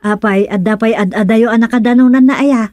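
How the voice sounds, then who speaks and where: A woman's voice: on the high side, steady tone, a little fast, a little mellow, no room sound, close to an everyday voice. A woman playing an inquisitive village woman in a comic radio serial, conversational and questioning. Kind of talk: comedic radio serial acting.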